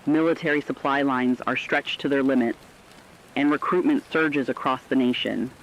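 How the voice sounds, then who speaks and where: A man's voice: medium pitch, steady tone, moderate pace, fairly bright tone, natural voice. A man playing a radio war correspondent, delivering a scripted dramatic news report in a grave, formal, emphatic way. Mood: grave, resolute. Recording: dull lo-fi radio sound, faint rain.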